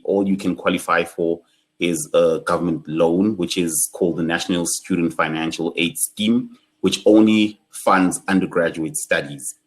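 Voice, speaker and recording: A young South African man with a South African accent, leading an online workshop in a measured, solemn way. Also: low-pitched, a bit bright. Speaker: workshop facilitator.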